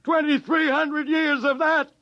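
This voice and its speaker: A man acting as the weary captain of a doomed spaceship in a 1950s radio drama, dry and bitterly ironic in a deliberate delivery. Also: extremely high pitch, little pitch movement, no room sound. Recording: dull, dry old radio recording.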